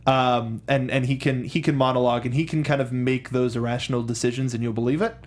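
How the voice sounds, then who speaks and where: A man co-hosting a casual discussion show, talking in a halting way and often repeating himself. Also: mid-range voice, fairly even intonation. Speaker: co-host of a movie discussion show. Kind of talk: casual chat.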